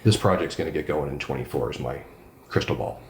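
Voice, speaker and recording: A man's voice: a bass voice, fast talker, creaky, echoey room. A man, a regional councillor, answering interview questions with emphatic enthusiasm. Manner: enthusiastic, emphatic, self-described loud voice.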